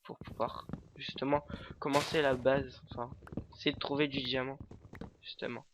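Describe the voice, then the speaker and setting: A man's voice: medium pitch, little pitch movement, moderate pace, noisy background. Rambling stuffy-nosed man gaming. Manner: hesitant, filler-heavy rambling. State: stuffy nose from a cold. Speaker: let's play host. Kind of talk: casual gameplay commentary.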